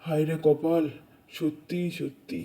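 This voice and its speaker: Man playing a mellow, sorrowful poor fisherman. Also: a little high, flat delivery, measured pace. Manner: plaintive, lamenting. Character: poor village fisherman father, sorrowful and kind-hearted. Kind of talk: cartoon dubbing. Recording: music under the voice.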